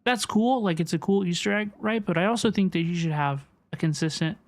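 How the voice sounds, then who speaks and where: A woman livestreaming a game, chatting casually with viewers in an animated, repetitive way as she reacts and reads chat. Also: fairly low pitch, a little fast. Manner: animated, repeats questions, reads chat aloud. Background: game music.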